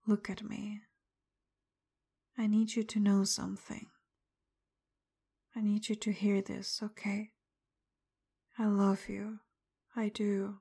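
A woman speaking straight to the listener, first frustrated and confrontational, then soft, apologetic and tender, much of it in a breathy whisper. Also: mid-range voice. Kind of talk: roleplay audio addressed to the listener.

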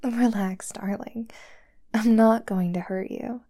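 A woman voicing a doting, possessive young girlfriend who holds her lover captive, talking softly and sweetly in a whispery voice. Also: fairly high pitch, steady tone, slow pace. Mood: soothing. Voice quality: soft and whispery. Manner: sweet, clingy, coaxing. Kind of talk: roleplay audio drama to the listener.

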